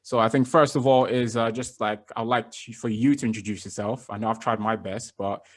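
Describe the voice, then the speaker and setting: A young man hosting a podcast as a fitness coach, chatting in a relaxed, loose way with lots of fillers and restarts. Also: lowish voice, brisk. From based in London. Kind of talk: casual interview chat.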